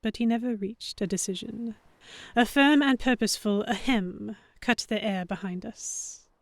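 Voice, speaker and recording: A woman playing a young, flustered heroine who narrates a pirate romance in the first person, with expressive dramatic delivery. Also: on the high side, moderate pace, dry recording, natural voice. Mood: breathless longing.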